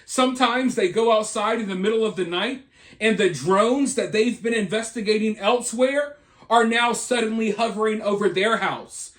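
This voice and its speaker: A man's voice: high-pitched, normal speed, fairly bright tone. A man hosting an opinionated news commentary, speaking emphatically with repeated points and rhetorical questions. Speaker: video host and commentator.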